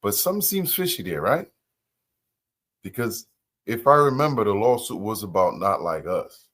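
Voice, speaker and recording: A man's voice: fairly low pitch, big pitch swings, normal speed, fairly bright tone. A man hosting a reaction channel, talking casually and musing aloud with rhetorical questions.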